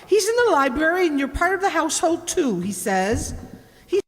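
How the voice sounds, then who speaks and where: A bossy household cook, played by a woman in a radio play, brisk and commanding. Her voice is fairly high and very animated, echoing in a hall. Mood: bossy, impatient. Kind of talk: children's radio play acting. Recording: reverberant hall recording.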